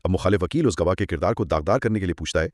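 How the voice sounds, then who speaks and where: A man's voice: low-pitched, racing, a little mellow, dry recording, clean studio sound. A man co-hosting a conversational legal explainer, teaching through examples and rhetorical questions. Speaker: co-host explaining law.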